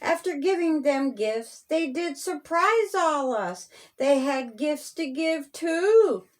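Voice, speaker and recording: Slow-reading woman telling a children's story. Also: high voice, expressive. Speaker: author reading own story.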